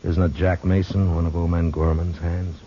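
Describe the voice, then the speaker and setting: An actor playing a stern, weary American marshal in a 1950s radio western, terse and grim in dialogue and narration. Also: male voice, low-pitched, flat delivery, normal speed, a little hiss or hum, close to an everyday voice. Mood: terse, watchful. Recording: muffled vintage radio recording with music.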